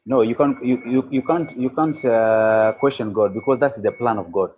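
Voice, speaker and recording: A man from Kenya calling into a debate, speaking haltingly, repeating his words and talking over the host. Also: medium pitch, a little mellow, dull audio, slight background noise. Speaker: caller. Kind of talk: religious argument in a call-in debate.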